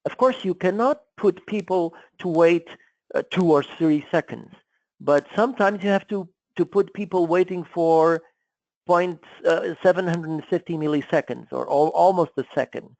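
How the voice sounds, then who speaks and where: A man giving an informal technical lecture, explaining step by step in a halting, repetitive way and often checking that listeners follow. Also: fairly high pitch, vocal fry, a little mellow, dry recording, highs cut off. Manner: halting, repetitive, checks understanding with frequent "okay?".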